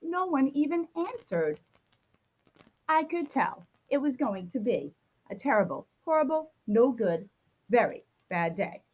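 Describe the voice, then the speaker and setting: A woman's voice: high voice, sing-song, a little slow, darkish tone, breathy edge, poor audio. A woman reading a children's picture book aloud, expressively acting out a grumpy child's complaints. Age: adult. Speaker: storybook reader.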